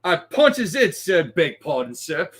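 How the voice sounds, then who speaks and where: A man's voice: fairly high pitch, sing-song, a little slow, fairly bright tone, slightly rough, exaggerated voice. A man voicing a young, deferential but scrappy Cockney bellboy who talks in ungrammatical, indignant bursts in a read-aloud story. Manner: ungrammatical, peppered with respectful address.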